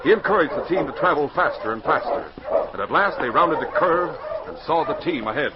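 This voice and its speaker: A man announcing and narrating in dramatic 1940s radio style, emphatic and carefully enunciated, with a husky voice. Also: fairly high pitch, lively intonation, fairly quick, heavy background noise. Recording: dull 1940s radio recording.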